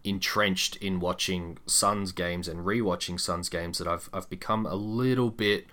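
A man co-hosting a sports podcast, talking through basketball in a casual but measured, explanatory way. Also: low voice, fairly even intonation, pushed and bright.

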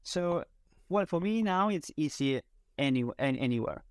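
Smooth-voiced Spanish man, casual and searching. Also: a little high, animated, moderate pace, no room sound, clean studio sound. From Spain. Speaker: interview guest, content creator. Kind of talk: casual conversation.

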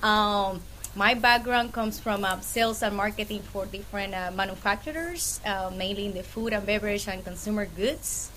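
A woman's voice: medium pitch, normal speed, fairly bright tone, slight background noise. A woman from Puerto Rico with a Puerto Rican accent, chatting casually as a guest in an interview. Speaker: podcast guest, freight brokerage owner.

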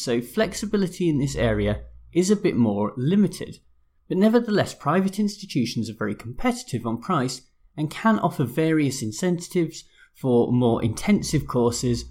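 A man, slightly hoarse, hosting a law podcast in a measured, explanatory scripted style. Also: mid-range voice. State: a bit hoarse, losing his voice. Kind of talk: scripted legal commentary podcast.

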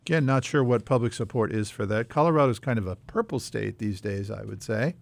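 A man hosting a conversational policy news discussion, explaining things in a measured way. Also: fairly low pitch, vocal fry. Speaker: podcast host leading the discussion.

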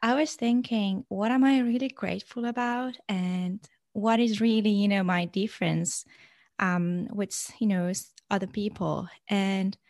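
A young woman from Iran, a guest in an interview, speaking natively and answering in a halting way with many fillers and restarts. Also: medium pitch, steady tone, darkish tone, dry recording, studio quality. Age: young adult.

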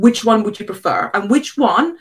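South African woman, candid and brisk. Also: mid-range voice, animated. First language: not English. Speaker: guest, cleaning business coach. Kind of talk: conversational interview answers.

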